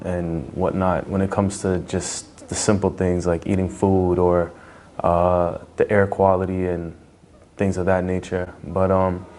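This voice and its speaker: A man from Canada speaks in a very low voice and answers interview questions casually. He often hesitates and restarts his sentences. Faint ambient noise sits behind him. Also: dark tone. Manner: hesitant, many fillers and restarts. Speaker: foreign teacher supervisor.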